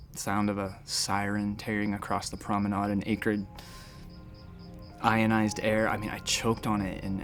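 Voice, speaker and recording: An actor playing an anxious, wry space station doctor who rambles and halts in a sci-fi audio drama. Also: male voice, medium pitch, natural voice. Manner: rambling, halting, self-interrupting. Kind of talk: audio drama acting, conversational narration.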